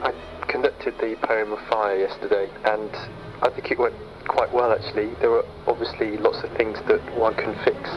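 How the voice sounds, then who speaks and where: Measured man in a lo-fi video diary. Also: on the low side, little pitch movement, noisy background. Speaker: conductor. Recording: dull lo-fi sound.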